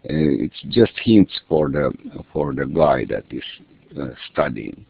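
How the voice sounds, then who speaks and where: A man's voice: a bass voice, very animated, unhurried, creaky, slightly rough, dry recording. A man from Finland, a native Swedish speaker with a Finland Swedish accent, explaining his course materials in a hesitant way full of fillers and restarts. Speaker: language course creator. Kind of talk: screen-share lesson walkthrough. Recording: tinny phone-like line, dry.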